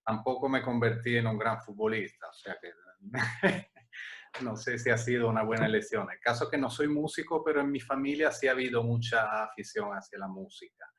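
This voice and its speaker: A man in his forties from Italy, speaking with an Italian accent, answering interview questions in a relaxed, hesitant way with many restarts. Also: mid-range voice, fairly quick. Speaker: interview guest, music agency director.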